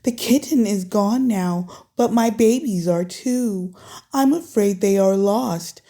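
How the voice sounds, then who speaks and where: A woman voicing a gentle, tearful mother snake in a children's storybook, polite and pleading in a slow, soft-hearted way. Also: medium pitch. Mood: worried, pleading.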